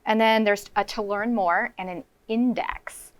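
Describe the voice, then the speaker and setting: A woman's voice: mid-range voice, steady tone, unhurried, no echo. An American woman teaching young children, explaining simply in a read-aloud lesson as a kindergarten co-host.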